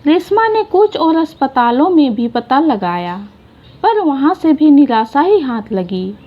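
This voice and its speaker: A woman narrating a short moral story in an unhurried, gentle reading style. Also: high-pitched, sing-song, dull audio.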